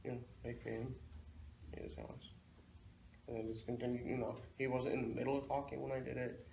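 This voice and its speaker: Gravelly-voiced man chatting in an echoey room. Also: medium pitch, little pitch movement, a little fast, mellow. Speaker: show co-host and comics seller. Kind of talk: casual chat. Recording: echoey room, constant noise.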